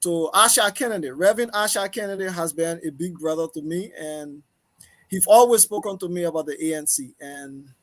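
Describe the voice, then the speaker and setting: A man with a Liberian accent answering as an interview guest, phrasing things deliberately and repeating for emphasis. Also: a little high. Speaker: guest, political talk show host. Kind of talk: interview answers, self-introduction.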